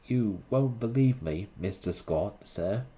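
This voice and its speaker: A man's voice: on the low side, lively intonation, slow, warm tone, heavily acted. A man voicing a blunt young Cockney servant who drops his aitches. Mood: uneasy. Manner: dropped aitches, rough working-class speech.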